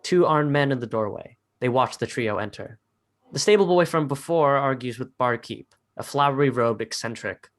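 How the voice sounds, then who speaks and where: A man with a medium-pitched voice narrating stage directions in a dramatic script reading. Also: moderate pace.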